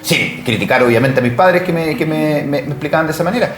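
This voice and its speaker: A Chilean man co-hosting an interview in a conversational way, haltingly repeating words as he forms questions. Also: fairly high pitch, fairly bright tone. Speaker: TV interview co-host. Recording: roomy echo, faint background noise.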